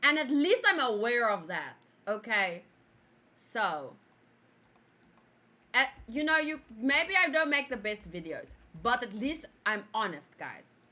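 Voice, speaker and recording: A young woman whose first language is Afrikaans, chatting casually while reviewing an anime and reacting to her own old video, giggling and switching between loud outbursts and quiet muttering. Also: on the high side, sing-song, slow.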